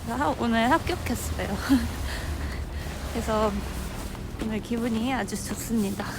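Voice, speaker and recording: A woman's voice: fairly high pitch, very fast, noisy background. A woman vlogging in a chatty, cheerful way, with music under her voice. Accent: Korean. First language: Korean. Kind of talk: casual vlog talk to camera.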